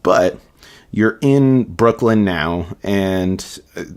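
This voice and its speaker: Deep-voiced guy hosting a podcast, rambly and eager. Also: very slow, a little mellow. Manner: rambling, enthusiastic, talks at length. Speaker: podcast host. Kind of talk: conversational interview.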